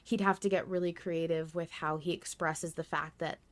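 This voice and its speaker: Low-pitched woman, casual podcast host. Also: fairly even intonation, normal speed. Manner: casual, swears freely. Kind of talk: casual chat about a fiction podcast.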